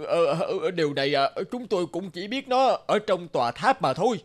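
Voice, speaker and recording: Dim giant robber for a cartoon: blustering coward, very animated, raised voice. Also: male voice, high-pitched, normal speed. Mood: scared, pleading. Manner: blustering, then cringing. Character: dim, cowardly male giant thieves, pleading. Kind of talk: cartoon acting.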